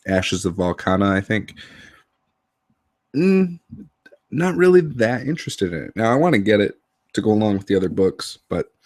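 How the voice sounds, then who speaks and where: A man with a very deep voice talks casually to his camera, hoarse from a lingering illness. He rambles and fills pauses with ums and uhs. The sound is dry and close. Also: a little mellow, no echo. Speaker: tabletop RPG YouTuber.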